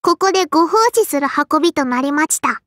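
A woman's voice: sits high, fairly quick, fairly bright tone, no echo, studio quality. A woman voicing a small, strict and prim sparrow-spirit girl in an anime game, with a childlike lisp and polite but scolding speech. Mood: polite, dutiful. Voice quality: childlike, lisping. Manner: polite but scolding, quirky verbal tic. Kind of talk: anime game character voice lines.

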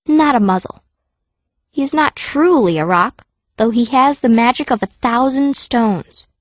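High-voiced woman narrating, dry close recording. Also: dry recording. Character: fierce, stubborn girl stone mage telling her story. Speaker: audiobook narrator voicing a young heroine. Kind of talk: first-person scripted narration.

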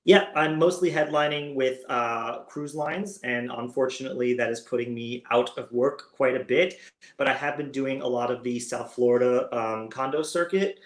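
A man's voice: mid-range voice, moderate pace. A young American man, a guest performer on a video call, answering interview questions in an upbeat, expansive way. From United States. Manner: upbeat, expansive, gestures while talking. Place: home room with world map on wall. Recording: roomy video call audio.

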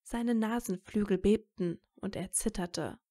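A woman narrates a story from a script. Her voice sits at an average pitch for a woman, and she serves as the narrator of an audio drama. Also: unhurried, mellow.

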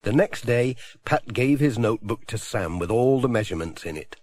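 A man's voice: mid-range voice, lively intonation, normal speed, a little mellow. A middle-aged man reading a children's storybook aloud as narrator. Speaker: audiobook narrator. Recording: dry close audiobook recording.

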